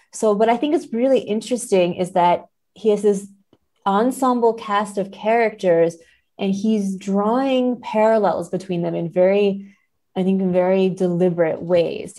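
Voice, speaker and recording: Low-pitched, creaky-voiced woman. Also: mellow. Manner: analytical, explanatory. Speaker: podcast guest, literature scholar. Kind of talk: thoughtful interview discussion.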